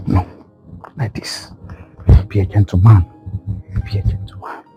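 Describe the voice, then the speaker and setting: An actor playing a nervous young man rehearsing a proposal and coaching himself quietly. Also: on the low side, very animated, slow, exaggerated voice. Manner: hesitant, self-coaching, soft-spoken. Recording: dull lo-fi recording, faint music.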